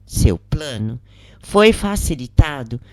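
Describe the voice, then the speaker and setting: A woman narrator plays a repentant lady spirit in an audiobook. She confesses frankly and deliberately, at a slow pace, in a dry recording. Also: extremely low pitch, sing-song, darkish tone, dry recording, full character voice. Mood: grave recounting.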